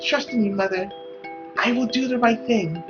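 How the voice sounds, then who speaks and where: A timid young woman from a visual novel, played by a man. Her voice is high and soft, and she pleads haltingly and gently. Faint music sits behind her. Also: lo-fi sound. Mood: earnest, resolved.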